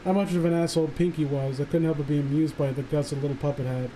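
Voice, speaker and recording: A man chatting and reading aloud on a casual livestream, halting and sardonic, with some swearing. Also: a little high, fairly even intonation, background noise. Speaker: streamer reading stories aloud. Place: voice call livestream.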